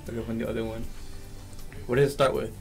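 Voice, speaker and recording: A man's voice: medium pitch, flat delivery, quick pace, soft background music. A young man co-hosting a youth radio show, teasing the others in short, pushy quips. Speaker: radio co-host. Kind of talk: casual youth radio chat.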